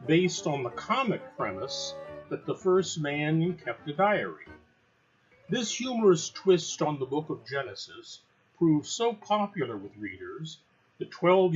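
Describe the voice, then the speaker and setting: A man's voice: mid-range voice, dull audio. A man working as an announcer, delivering a scripted introduction to an audio play.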